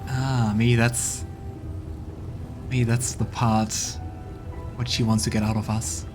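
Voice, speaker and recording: A man casually commenting on a game and reading its narration aloud, thinking out loud, with music in the background. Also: medium pitch, little pitch movement, takes it slowly. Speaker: let's play streamer.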